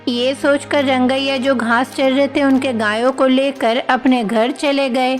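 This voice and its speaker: A woman's voice: high voice, steady tone, measured pace, big-room sound, soft background music. A woman reading scripted narration for a moral bedtime story.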